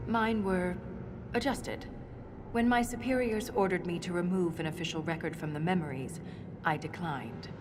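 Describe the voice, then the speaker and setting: A woman playing a principled, dryly stubborn dwarf historian in a video game, speaking in a measured, precise way. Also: fairly low pitch, noisy background, close to an everyday voice. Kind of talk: video game cutscene dialogue. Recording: game music behind the voice. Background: music.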